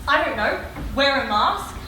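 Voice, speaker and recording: A woman's voice: high voice, expressive, a little slow. A woman acting a blunt, exasperated newspaper boss, dry and sarcastic in a comic stage style. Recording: very echoey hall, distant mic, audience noise.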